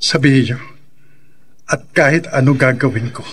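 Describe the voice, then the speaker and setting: A distraught husband in a radio horror play. His voice is medium in pitch and rough-edged, earnest and pleading as he begs on behalf of his possessed wife. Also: normal speed, fairly bright tone. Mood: anxious, pleading. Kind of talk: radio drama acting.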